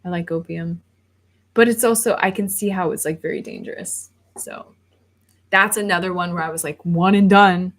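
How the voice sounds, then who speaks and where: A woman's voice: fairly low pitch, moderate pace. A woman in her thirties, rambling casually on a livestream and reading viewer comments aloud before answering them. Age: 30s. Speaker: livestream host.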